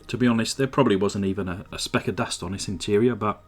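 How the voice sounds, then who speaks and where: A man with a lowish voice, a little croaky from a sore throat, talks through his workbench restoration over soft background music. He sounds relaxed and chatty, pausing on "uh" between thoughts, with a desk mic picking him up in a home workshop. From England. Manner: relaxed, chatty, many "uh" fillers. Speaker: model car restorer. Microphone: desk microphone.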